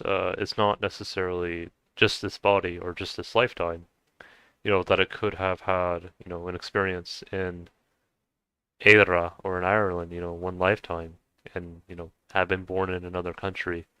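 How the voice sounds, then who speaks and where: A man's voice: low-pitched, fairly bright tone, clear, resonant tone, dry recording. A young man talking in a casual, hesitant monologue with many fillers and restarts. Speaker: vlogger.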